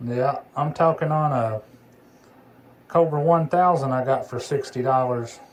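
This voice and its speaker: A man's voice: medium pitch, unhurried. A man chatting casually as a CB radio hobbyist while demonstrating a repaired radio. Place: indoor electronics workbench. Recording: clearly noisy workbench recording, background hiss.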